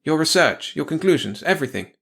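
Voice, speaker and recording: A man's voice: fairly high pitch, sing-song, fast, vocal fry, a bit bright, pitched above the performer's own voice, heavily acted. A man voicing a stern, contemptuous young woman soldier in an audiobook story, with a curt, commanding manner.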